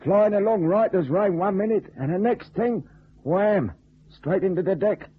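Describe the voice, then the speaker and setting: A man's voice: high voice, unhurried, breathy edge. An actor playing a blunt, suspicious old night watchman with a working-class English accent in a radio detective drama. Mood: chatty, scornful. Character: gruff, plain-spoken old night watchman. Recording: tinny, narrow old radio recording.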